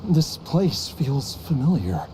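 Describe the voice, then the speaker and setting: Troubled, halting young man, slow-spoken. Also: medium pitch, big pitch swings, mellow, slight background noise, low-quality recording. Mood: uneasy, searching. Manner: halting, strained. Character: troubled young man haunted by lost memories, determined. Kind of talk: anime-style game voice acting. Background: game music.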